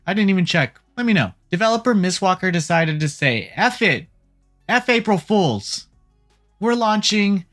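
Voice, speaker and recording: A host delivering casual gaming news commentary in an irreverent, jokey way, swearing casually, with a medium-pitched voice. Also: a bit bright. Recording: music playing behind the voice. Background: background music.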